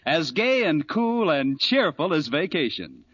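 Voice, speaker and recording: A man's voice: on the high side, sing-song, a little slow, a bit bright, lo-fi sound, slight background noise. A man with an American accent announcing in cheery, polished 1940s radio salesman style on an old recording.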